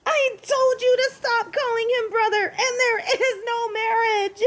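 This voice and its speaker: A woman's voice: extremely high pitch, fairly even intonation, unhurried, fairly bright tone. A woman playing a snobby, stubborn tsundere angel in an audio drama, huffy and easily flustered. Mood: flustered, embarrassed. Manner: huffy, haughty, stammering when embarrassed. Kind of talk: audio roleplay drama acting.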